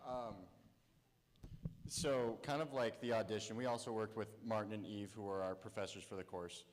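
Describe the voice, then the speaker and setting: A man's voice: on the low side, fairly even intonation, normal speed. Casual young man student filmmaker, hall echo. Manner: casual, with fillers. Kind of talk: answering questions at a festival Q&A. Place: theater stage at a film festival. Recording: reverberant hall with audience.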